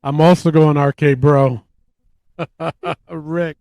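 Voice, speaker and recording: Guy hosting a podcast game, unhurried, slightly high voice, casual. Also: fairly even intonation, mellow, no room sound. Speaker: podcast host running a game. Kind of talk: casual banter.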